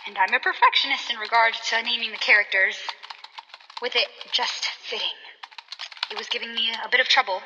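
A woman's voice: on the high side, lightly acted. Woman acting a desperate writer, muffled noisy reverberant recording. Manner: melodramatic, pleading. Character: desperate female writer being drained into her story. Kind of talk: dramatic monologue left as a phone message.

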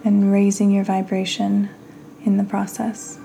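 A woman hosting a guided meditation, speaking soothingly in short phrases with long pauses and breathy endings. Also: mid-range voice, monotone, mellow, faint music behind. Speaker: podcast host and meditation guide.

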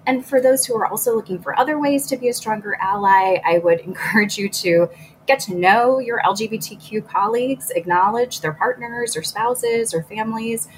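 A woman speaks in a mid-range voice with a clear, explanatory delivery, as if addressing a panel over a video call. A faint hiss of background noise sits under her voice. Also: medium pitch, poor audio.

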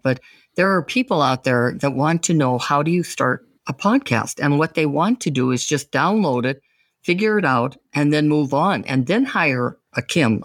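A man hosts a casual interview, talking at length and sounding enthusiastic and encouraging. His voice sits a little higher than most men's. The sound is dry and close. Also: no echo. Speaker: podcast host.

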